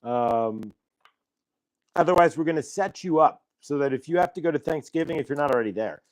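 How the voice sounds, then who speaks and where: A man's voice: fairly high pitch, animated, brisk, a little mellow, no room sound, poor audio. A middle-aged man hosting a casual live stream, rambling and thankful, with lots of fillers and restarts, speaking into a clip-on mic. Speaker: host. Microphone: clip-on transmitter mic.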